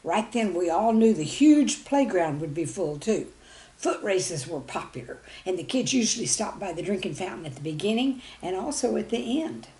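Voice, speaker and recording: A woman telling stories to young children in an expressive, folksy way, speaking to them directly. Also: medium pitch, moderate pace. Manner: folksy, animated, addresses young listeners directly. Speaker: children's storyteller.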